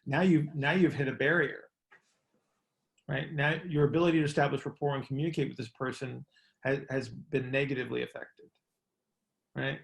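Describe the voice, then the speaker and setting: Creaky-voiced man explaining, coach-like. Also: mid-range voice, fairly even intonation, normal speed, long pauses. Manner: often ends points with "right?". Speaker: coach hosting a group conference call. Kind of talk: casual explanatory discussion.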